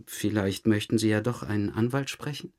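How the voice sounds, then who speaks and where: An actor playing a calmly probing police detective in a radio drama interrogation, measured and insistent. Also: male voice, lowish voice, close to an everyday voice.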